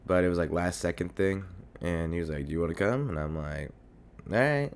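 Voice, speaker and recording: A young man hosting his own podcast while sick and congested, talking in a rambling, casual way with lots of fillers and swearing, into a desk mic at home. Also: fairly quick. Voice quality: deep hoarse voice. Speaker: podcast host. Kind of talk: casual solo podcast monologue. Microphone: desk mic near a computer.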